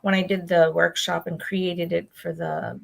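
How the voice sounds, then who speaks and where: A woman's voice: fairly low pitch, level tone, unhurried, vocal fry. A woman hosting a casual video call chat, rambling and restarting her sentences. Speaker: host.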